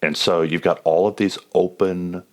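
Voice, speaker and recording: A man's voice: deep voice, fairly even intonation, moderate pace, darkish tone. A man hosting an informal, reflective interview as a chaplain and counselor, asking questions conversationally. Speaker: podcast host, police chaplain and counselor.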